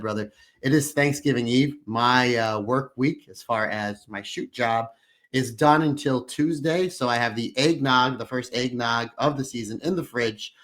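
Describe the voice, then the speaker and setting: A man in his thirties or forties co-hosting a podcast, a little hoarse, telling long upbeat stories in casual chat. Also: mid-range voice, a bit bright. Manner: rambling, upbeat storytelling with frequent restarts. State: slightly hoarse. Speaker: podcast co-host.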